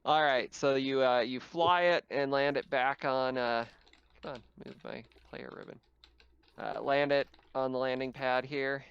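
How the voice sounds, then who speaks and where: A man acts as game master for a tabletop roleplaying session. His voice is clear and smooth, mid-pitched and a little muffled by the recording. He describes the action casually, halting as he works it out. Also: fairly even intonation, a bit bright.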